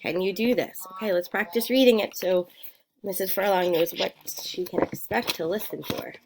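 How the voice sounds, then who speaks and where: A woman's voice: medium pitch, moderate pace. Encouraging mother coaching a child, noisy recording. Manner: encouraging, instructive, asking short prompting questions. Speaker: mother coaching her child's reading practice. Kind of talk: casual home talk, prompting a young child to read.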